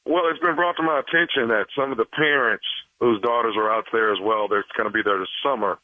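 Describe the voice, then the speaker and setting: A man playing a polite, hesitant camp director on a prank phone call, halting and placating. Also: mid-range voice, no room sound, close to an everyday voice. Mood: awkward, placating. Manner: halting, stammering, evasive, placating. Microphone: telephone. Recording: tinny phone line.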